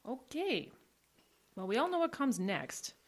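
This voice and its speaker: A curious, lively young woman. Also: on the high side, measured pace. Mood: earnest. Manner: blunt, inquisitive. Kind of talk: audio drama acting.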